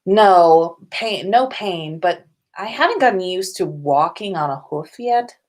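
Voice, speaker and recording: A woman's voice: fairly low pitch, lively intonation, unhurried. A woman voicing an exasperated, sarcastic teenage wizard boy in a fan fiction story reading. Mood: dry. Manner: indignant, loud, sarcastic.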